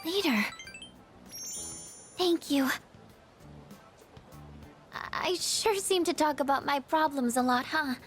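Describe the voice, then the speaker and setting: A very high-pitched, timid teenage girl from a video game. She is gentle and hesitant, quick to apologise and doubt herself, with music softly underneath. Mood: self-doubting. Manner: hesitant, apologetic, soft-spoken. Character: shy, self-doubting, gentle teenage girl good with machines. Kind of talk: English video game dub acting.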